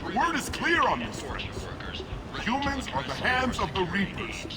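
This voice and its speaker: Game role: fanatical alien street preacher, menacing, slow and grandiose, loud music over the voice. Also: male voice, high voice, huge pitch swings, full character voice. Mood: wrathful. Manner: bombastic, archaic solemn declaiming. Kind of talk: fiery apocalyptic sermon.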